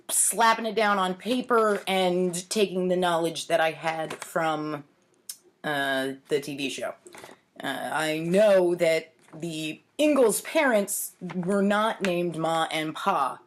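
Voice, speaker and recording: A woman around forty reading aloud at home with wry, theatrical delivery and casual self-mocking asides. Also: medium pitch. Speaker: host reading her own childhood writing. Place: home kitchen, indoors. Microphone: webcam or laptop at arm's length.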